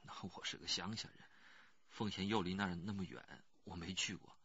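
A man's voice: sits low, fairly even intonation, a little fast, pushed and bright, husky, no room sound, highs cut off, heavily acted. An actor playing an anxious rural working man who pleads earnestly and hesitantly in a radio crime drama. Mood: cooperative, explaining.

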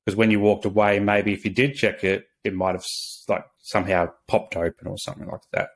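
A man's voice: on the low side, flat delivery, fairly quick. An Australian man in his thirties, a social worker, earnestly telling his own story on a video call with many restarts and fillers. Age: 30s. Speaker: podcast guest, social worker. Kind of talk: casual interview, personal story. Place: small home office. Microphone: video call webcam.

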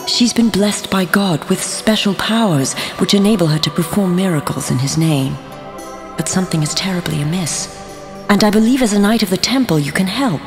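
A woman's voice: on the low side, natural voice. A woman playing a worried, pleading medieval mother, narrating a letter in a video game cutscene. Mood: despairing. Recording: long reverb.

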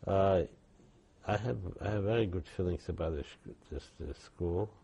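An older man, giving halting oral history testimony with many restarts. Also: sits low, mellow, slightly rough. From Poland. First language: Yiddish. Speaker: interviewee.

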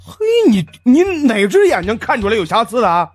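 A man's voice: sits high, very animated, brisk, husky, faint music behind, voice pushed up, exaggerated voice. A man voicing a brash, indignant fat hustler in an audiobook, sarcastic and stammering as he haggles.

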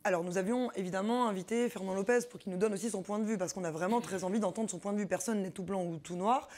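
A woman in her forties hosting a studio sports show, presenting segments in a lively way. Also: mid-range voice, fast. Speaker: show host.

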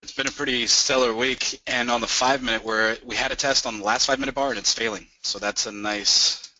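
Man, guest in a trading webinar, sharp tense voice, very dry sound. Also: medium pitch, steady tone, brisk, no room sound, distant mic, poor audio. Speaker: guest trader in the room. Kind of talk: casual chat.

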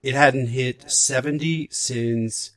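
A man's voice: mid-range voice, steady tone, slow pace, no room sound. A man in his forties from Puerto Rico chatting casually and expressively as a podcast host, with some swearing. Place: home room, on a video call. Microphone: large desk microphone, close.

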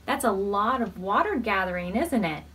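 A woman's voice: mid-range voice, slightly rough. An American woman in her thirties leading storytime as a children's librarian, reading aloud expressively to preschoolers and putting questions to them. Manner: expressive, measured reading with questions to young listeners.

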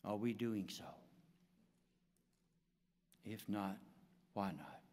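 A man in his seventies preaching and praying as a pastor, in a solemn, deliberate manner with measured phrasing. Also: on the low side, a little mellow. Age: 70s. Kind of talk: sermon and prayer. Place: large church sanctuary, indoors. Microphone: pulpit gooseneck microphone. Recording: long church reverb.

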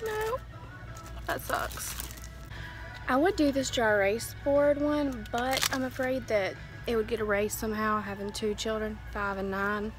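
A woman's voice: fairly high pitch, measured pace, music in the background, low-quality recording. Chatty young woman vlogging in a store. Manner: chatty, frugal, talking to the camera while browsing. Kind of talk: casual shop-with-me narration. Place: large discount store, indoors. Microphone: phone camera held at arm's length.

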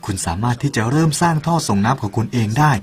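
A man's voice: medium pitch, animated, fast. A man narrating a parable in an earnest, persuasive way and speaking directly to the listener. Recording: soft music behind the voice.

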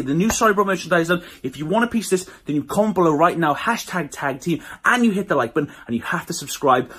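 A young British man hosting a prank vlog in a loud, excitable way, chatting to a handheld camera. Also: high-pitched, husky. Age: young adult. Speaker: prank video host. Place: small office rooms and inside a vehicle. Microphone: phone or camera at arm's length.

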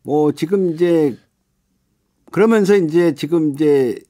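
Mellow middle-aged man, halting explainer. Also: fairly high pitch. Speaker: interview guest, activist group chair. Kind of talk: radio interview, explaining. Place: radio studio. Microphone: studio condenser microphone up close.

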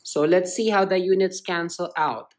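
A woman's voice: fairly low pitch, normal speed. A woman tutor narrating a step-by-step lesson in simple, explanatory phrasing. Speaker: chemistry tutor.

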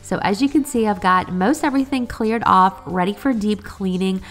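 A woman's voice: mid-range voice, normal speed, soft background music. A woman in her thirties narrating her cleaning vlog in a friendly, upbeat way while getting over a cold. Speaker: cleaning vlogger.

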